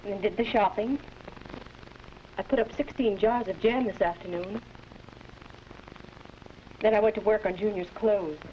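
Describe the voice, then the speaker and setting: An American woman acting as a patient, quietly knowing housewife in a 1940s instructional film, gentle and understated. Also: medium pitch, fairly even intonation, unhurried, long pauses, natural voice. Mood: mild, weary. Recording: noisy vintage 1940s film soundtrack.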